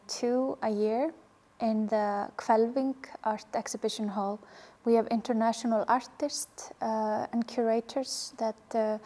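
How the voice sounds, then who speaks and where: A woman's voice: a little high, dark tone. A woman from Iceland with an Icelandic accent, speaking English as a second language while explaining her work as a museum educator.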